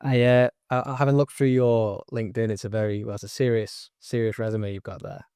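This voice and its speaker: A man's voice: fairly low pitch, little pitch movement, fairly quick, warm tone, clear, resonant tone, no echo, studio quality. A man from northern England hosting an interview, asking questions in a casual, hesitant way with many fillers. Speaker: interviewer, host.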